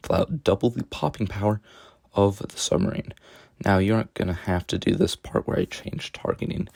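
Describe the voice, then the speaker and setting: A man with a rough voice narrating a video game strategy guide, explaining step by step in a casual, joking way. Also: low voice, a little mellow, dry recording, studio quality. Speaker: gaming tutorial narrator. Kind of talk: gameplay commentary voice-over.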